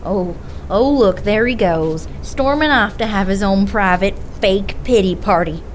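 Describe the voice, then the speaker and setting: Woman acting slow-spoken, confrontational female investigator. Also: medium pitch, a little hiss or hum, natural voice. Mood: indignant, mocking. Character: earnest, wounded female investigator, proud and confrontational partner. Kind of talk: naturalistic audio drama acting.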